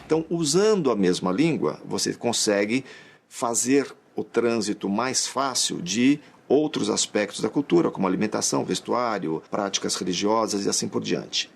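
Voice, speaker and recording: A man's voice: on the low side, lively intonation, normal speed, gravelly, husky. A man explaining history casually in an interview. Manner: explains casually, with tag questions. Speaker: expert interviewee.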